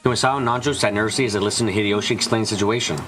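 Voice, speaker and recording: A man's voice: lowish voice, fairly even intonation, very fast, music in the background. A man reading scripted story narration for a visual novel fan dub.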